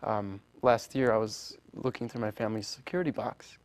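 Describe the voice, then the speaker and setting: A young man whose first language is Polish, speaking as a guest in an interview, earnest and halting with many restarts. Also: fairly low pitch, fairly even intonation, clean tone, dry recording. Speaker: orchestra founder, guest. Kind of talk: interview conversation.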